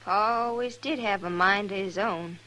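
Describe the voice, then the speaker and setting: An actress playing a plain-spoken young rancher's daughter in an old radio western, rustic and matter-of-fact. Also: female voice, medium pitch, moderate pace, pushed and bright, natural voice. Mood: sorrowful. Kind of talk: 1950s radio western acting. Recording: noisy vintage radio recording.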